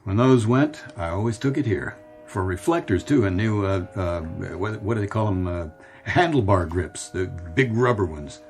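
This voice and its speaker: An American actor playing a nostalgic, bewildered middle-aged man in a radio drama, speaking earnestly and haltingly. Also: fairly low pitch, lively intonation, a little mellow, lightly acted. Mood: wistful, friendly. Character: nostalgic, bewildered man revisiting his boyhood town. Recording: studio radio drama with music bed.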